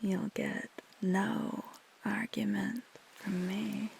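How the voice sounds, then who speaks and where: A woman playing a caring, flirty female vampire lover in an intimate ASMR roleplay, speaking tenderly and reassuringly in a breathy, husky half-whisper. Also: mid-range voice, little pitch movement, drawn-out words, a little mellow, a little hiss or hum, exaggerated voice, rough recording. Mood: flirty, playful. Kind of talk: ASMR roleplay, intimate one-sided dialogue.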